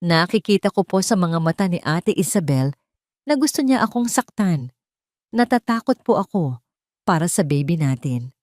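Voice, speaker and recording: A woman's voice: fairly low pitch, very animated, moderate pace, darkish tone, no room sound, studio quality. A woman voicing a manipulative young pregnant mistress who feigns meekness in an audio story. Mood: meek, fearful.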